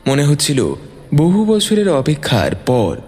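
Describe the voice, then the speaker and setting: A man's voice: a little high, huge pitch swings, unhurried, a little mellow. A man narrating a scripted story in a dramatic storytelling style. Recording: long reverb, music bed underneath.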